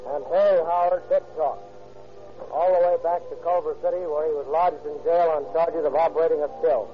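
A man's voice: on the high side, steady tone, a little fast. A man, as a police lieutenant, sums up a case in a stiff, formal reading on a 1930s radio show. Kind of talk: scripted radio narration. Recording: muffled 1930s radio recording with music.

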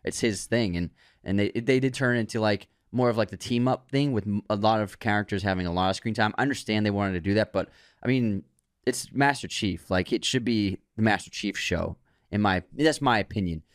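A man's voice: on the low side, brisk, dry recording, studio quality. Opinionated man co-hosting a podcast. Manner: animated, opinionated, restarts words. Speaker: podcast co-host. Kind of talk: casual chat.

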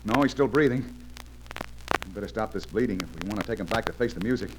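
An actor playing a steady, decent male adventure hero in an old radio serial, firm, reassuring and plain-spoken. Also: on the low side, fast, lightly acted. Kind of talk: old-time radio drama acting. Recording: noisy old radio recording.

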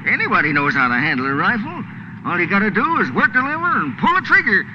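Simple, scrappy American sidekick, high and very animated, querulous, 1940s radio comic relief. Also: male voice, fast. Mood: anxious, querulous. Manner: folksy, querulous. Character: scrappy, needy, simple-minded male boat sidekick. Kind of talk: 1940s radio drama acting. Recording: muffled, noisy vintage radio broadcast.

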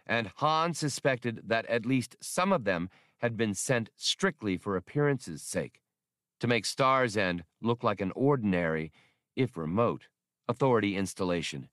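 A man's voice: on the low side, fairly bright tone, no room sound, studio quality. A man reading a science fiction novel aloud as scripted audiobook narration.

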